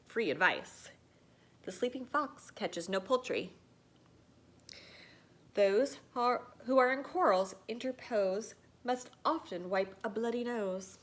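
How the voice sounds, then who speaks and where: Female storyteller, schoolteacher type; animated, theatrical read-aloud delivery, fairly high register. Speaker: teacher reading aloud.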